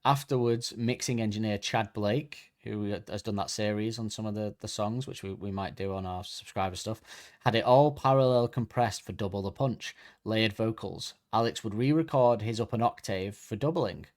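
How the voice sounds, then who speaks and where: A man's voice: lowish voice, normal speed, a little mellow. A man co-hosting a podcast, chatting casually and reading facts from notes while correcting himself as he goes. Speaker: podcast co-host.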